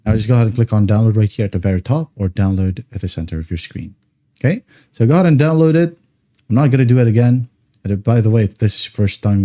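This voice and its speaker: A man hosting a casual step-by-step software tutorial, often checking in with short tags like okay and right. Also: lowish voice. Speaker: tutorial host.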